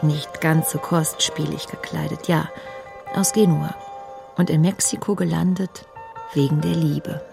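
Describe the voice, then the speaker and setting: A woman's voice: sits low, fairly even intonation, unhurried, creaky, heavily acted. A woman playing a nineteen-year-old from a wealthy family, narrating a radio drama with a reflective, wry manner. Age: young adult. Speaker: narrator and main character. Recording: music underneath.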